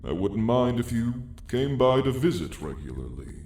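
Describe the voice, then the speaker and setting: A man voicing an ancient, weary male dragon, gentle and wistful, speaking solemnly in an ASMR roleplay story. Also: mid-range voice, very animated, close to an everyday voice. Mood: gentle, inviting. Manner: solemn, deliberate, old-fashioned phrasing. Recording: echoey space with faint hiss.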